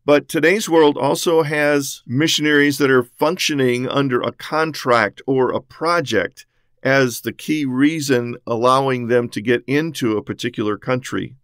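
Male podcast host, mid pitch, dry close mic, steady methodical scripted teaching. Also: clean tone, no echo. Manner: measured, methodical, lists points one by one. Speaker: podcast host on church missions.

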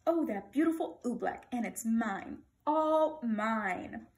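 An excitable, greedy storybook king acted by a woman speaks in a fairly high voice. He is bossy and imperious, delivering everything as a royal exclamation. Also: takes it slowly. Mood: gloating delight.

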